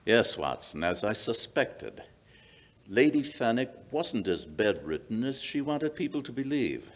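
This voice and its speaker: A man's voice: mid-range voice, sing-song, a little slow. An older man playing a commanding, self-assured detective in an old-time radio drama, authoritative and explanatory. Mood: shrewd. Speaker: amateur radio theatre actor. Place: small indoor museum stage. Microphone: standing vintage-style stage microphone.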